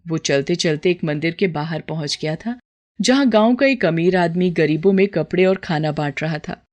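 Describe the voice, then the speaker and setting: A woman's voice: on the low side, a little mellow. A woman storyteller reading a scripted moral tale as narration.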